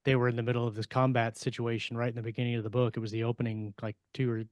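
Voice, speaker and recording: Male podcast co-host, mid-pitched, casual discussion style, dry close-mic sound. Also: level tone, very fast, no room sound.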